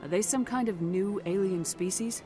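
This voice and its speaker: A woman's voice: mid-range voice, over music, lightly acted. A woman playing a resolute military commander in a video game, speaking firmly and directly. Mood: serious, urgent. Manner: firm, measured, direct. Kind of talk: video game cutscene acting.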